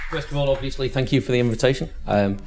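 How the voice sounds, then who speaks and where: A man's voice: mid-range voice, fast, over a noisy crowd, very noisy. A man from Liverpool with a Liverpool accent, speaking as a panelist at a conference in a direct, matter-of-fact way. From Liverpool, England. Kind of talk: conference talk.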